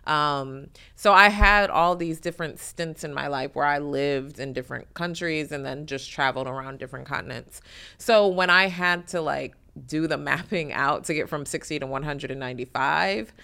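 A woman from in her thirties speaks in a low, gravelly register with a sharp, bright edge to her tone. Her is easygoing, and she talks as an interview guest in loose, chatty bursts, laughing often and filling her sentences with "like" and "um.". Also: normal speed. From Detroit, USA. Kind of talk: casual interview chat.

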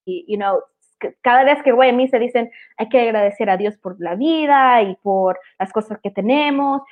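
A tinny, phone-like recording of a woman with a fairly high voice. She hosts a casual, chatty interview and slips English words into her Spanish. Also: moderate pace. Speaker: host interviewing a guest. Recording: tinny, phone-like call audio.

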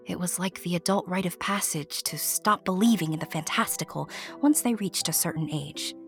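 Female storyteller, lower register, theatrical and intimate, playful direct address, soft music underneath. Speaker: storyteller, narrator. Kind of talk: scripted storybook narration addressed to the listener.

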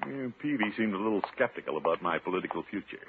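Brief: pompous middle-aged blowhard official, very animated delivery, theatrical 1950s radio comedy, muffled noisy old recording. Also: male voice, lowish voice, fast talker. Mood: smug. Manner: blustery, self-satisfied, theatrical, chuckling. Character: pompous, self-important middle-aged uncle and small-town official.

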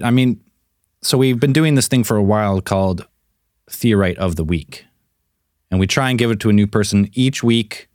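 Lower-voiced man leading a podcast segment. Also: a little mellow, no room sound, clean studio sound. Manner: upbeat, leads segments, laughs. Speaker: podcast co-host. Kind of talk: casual chat.

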